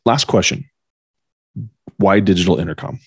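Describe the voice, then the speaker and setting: A man hosting a podcast interview in a conversational way, with a husky voice. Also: low-pitched, normal speed, dry recording, clean studio sound.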